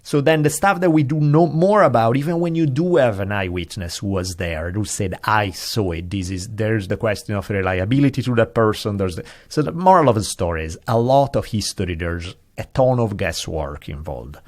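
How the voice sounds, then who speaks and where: A man's voice: fairly low pitch, normal speed, hardly any pauses. A man, a podcast guest explaining ideas in a thoughtful, digressive way with frequent hedges. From Italy. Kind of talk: casual conversation.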